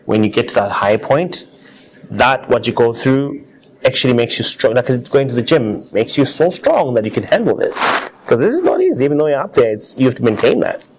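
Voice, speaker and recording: A man's voice: medium pitch, big pitch swings, brisk, low-quality recording. A man speaking as an interview guest, telling personal stories in an earnest, conversational way with an easy readiness to chuckle. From Zimbabwe. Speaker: interview guest, car designer.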